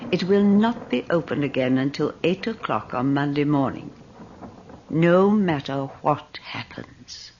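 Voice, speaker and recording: Commanding old gentlewoman for radio drama: deep-pitched, sweeping theatrical delivery, sly and imperious. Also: expressive. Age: older. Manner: theatrical, commanding, brisk dismissals. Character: imperious, devout old wealthy widow, sly and curious. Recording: dull, noisy old radio recording.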